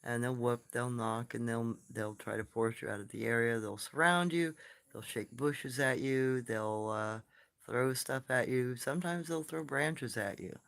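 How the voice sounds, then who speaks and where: A soft-spoken man telling stories and answering comments in a casual, rambling live stream, recorded on his phone. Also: mid-range voice, measured pace, vocal fry.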